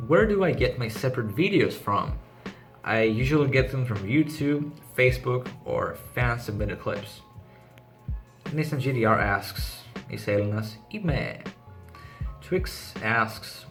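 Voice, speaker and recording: A man's voice: mid-range voice, fairly bright tone. A man with a Greek accent reading out questions and answering them briefly and matter-of-factly in a casual vlog. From Greece. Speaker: car channel host. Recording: music playing behind the voice. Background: background music.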